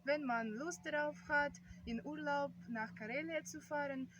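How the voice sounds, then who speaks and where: A woman from Russia with a Russian accent gives prepared travel narration as a tour guide, in careful, slightly halting non-native phrasing. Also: sits high. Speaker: vlogger acting as tour guide. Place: outdoors on an island. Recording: very noisy, muffled outdoor amateur recording. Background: loud ambient noise.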